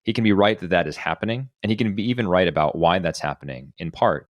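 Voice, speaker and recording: Man, podcast co-host, low voice, articulate, analytical, dry close sound. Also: fast, no echo, muffled recording. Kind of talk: podcast discussion, reading out and commenting on an article.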